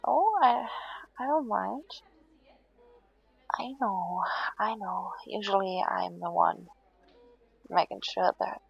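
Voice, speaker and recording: Actress as exhausted woman patient in audio roleplay: husky, slightly creaky, halting, half-whispered, stubborn yet affectionate. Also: medium pitch, lo-fi sound, heavily acted. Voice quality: soft, breathy, often half-whispered. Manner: hesitant, halting, reassuring, drowsy. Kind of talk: intimate audio roleplay to the listener.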